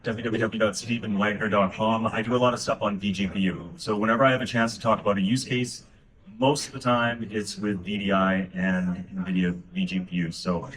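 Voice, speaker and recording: A man from Canada speaks on a conference stage with a low voice. He introduces himself in an upbeat, brisk way. The mic sits a little away from him. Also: fairly even intonation, a bit bright, distant mic, poor audio. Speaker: company president. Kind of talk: conference panel talk.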